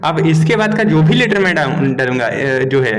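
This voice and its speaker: A man's voice: fairly high pitch, animated, moderate pace. Chatty male tutorial host, echoey room. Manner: chatty, often asks "okay?". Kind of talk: casual spoken tutorial. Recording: long echo in a room.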